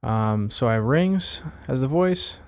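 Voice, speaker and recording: A man's voice: medium pitch, animated, measured pace, darkish tone. A man casually walking through audio gear as a reviewer, laid-back and self-deprecating in manner. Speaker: synthesizer module reviewer. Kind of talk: casual gear demo talk.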